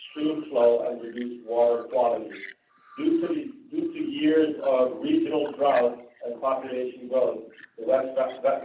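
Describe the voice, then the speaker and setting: Presenter, man with Indian accent, reads board policy aloud with many "uh"s and restarts, heard far off in an echoey room. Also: mid-range voice. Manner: reads from notes with frequent "uh" and restarts, adds casual asides. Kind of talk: reading policy items at a public board meeting. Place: meeting room. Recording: distant, phone-like, roomy with faint hiss.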